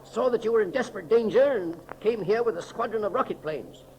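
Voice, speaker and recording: A man playing a kindly, capable scientist rescuer with brisk, old-fashioned heartiness in a 1930s radio serial. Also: high-pitched, lively intonation, a little mellow. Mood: explanatory. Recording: noisy 1930s radio recording.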